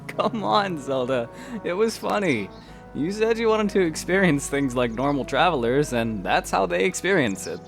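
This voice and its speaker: A man playing a reserved but teasing young adventurer in a fan audio drama, casual and a little halting. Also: fairly high pitch, very animated, vocal fry, faint music behind. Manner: casual, halting when sincere.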